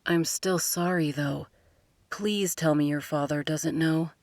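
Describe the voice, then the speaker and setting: A woman voicing an apologetic young man, speaking softly and sheepishly. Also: deep voice, fairly even intonation, takes it slowly, no echo, clean studio sound. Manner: soft, hushed, apologetic.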